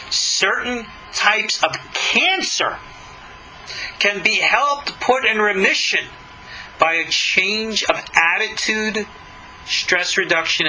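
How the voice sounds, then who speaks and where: A man's voice: sits high, sharp tone, a little hiss or hum, low-quality recording. A middle-aged or older man, a rabbi giving a religious lesson, reading a text and commenting on it in an emphatic, skeptical, questioning way.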